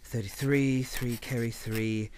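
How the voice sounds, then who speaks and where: A man's voice: mid-range voice, steady tone, takes it slowly, natural voice. A man plays a put-upon, kindly clerk in a radio play. He speaks meekly and hesitantly, always deferential, with a little noise in the recording. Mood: timid, obliging. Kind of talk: old-time radio drama acting.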